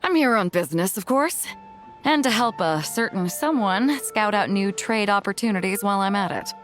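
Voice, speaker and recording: A woman's voice: medium pitch, animated, moderate pace, lightly acted. A woman voicing a bold, easygoing sea captain in a video game, with a breezy, confident, conversational manner. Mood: cheerful, breezy. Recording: music bed under the voice.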